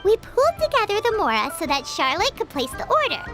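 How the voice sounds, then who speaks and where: A woman's voice: very high voice, wildly swooping pitch, fairly quick, exaggerated voice. A woman voicing a small, cheerful female companion creature who talks eagerly in a game, with music underneath. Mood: bubbly. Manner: bubbly, eager, chatty. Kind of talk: game voice acting. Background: game background music.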